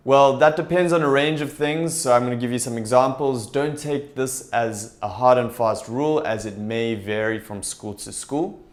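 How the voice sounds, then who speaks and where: A young man talking straight to camera in a small room, explaining things in a steady, upbeat way. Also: medium pitch. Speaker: TEFL recruiter and vlogger. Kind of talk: direct-to-camera advice talk. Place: small indoor room with shelves.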